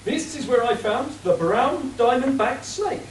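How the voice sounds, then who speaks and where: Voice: high voice, lively intonation, measured pace. An amateur actor playing an earnest, officious police inspector in a radio play. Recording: roomy, distant, faint hiss.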